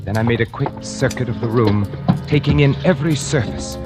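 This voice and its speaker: A man acting a cultured, sinister painter, low-key suspense. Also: close to an everyday voice. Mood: brooding, sinister. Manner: refined, theatrical, deliberate and condescending. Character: cultured, scheming male painter covering up a murder. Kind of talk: 1940s radio drama acting, first-person suspense narration. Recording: vintage radio broadcast with music.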